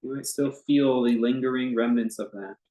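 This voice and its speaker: A man leading a guided meditation as a teacher, speaking in an unscripted, conversational way with gentle, halting phrases and long pauses. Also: medium pitch, steady tone, low-quality recording.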